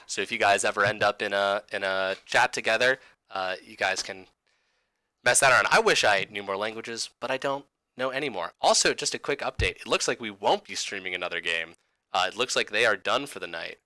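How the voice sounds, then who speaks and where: A man's voice: fairly low pitch, brisk, pushed and bright, no room sound. A man hosting an esports stream desk, interviewing a player with upbeat, enthusiastic reactions. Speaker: esports broadcast host.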